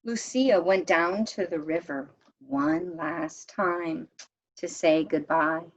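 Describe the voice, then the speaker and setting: A woman reading a children's picture book aloud in a measured, expressive storytime manner, as if to young listeners. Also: on the low side, creaky, muffled recording. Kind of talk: read-aloud storytime narration.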